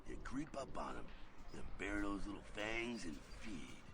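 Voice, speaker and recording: An actor playing a brash, teasing male vampire mentor in a video game, gravelly and slangy as he gives instructions. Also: fairly low pitch, sing-song, moderate pace, noisy background. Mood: coaching, urgent. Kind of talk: video game character acting.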